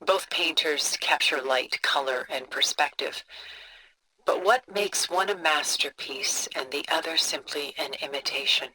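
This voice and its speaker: An actress playing a refined, cultured, coolly composed older woman in a video game, speaking in a poised, deliberate, articulate way. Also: sits low, bright, forceful tone, a little hiss or hum, poor audio. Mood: reflective, composed. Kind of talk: scripted video game dialogue, art commentary.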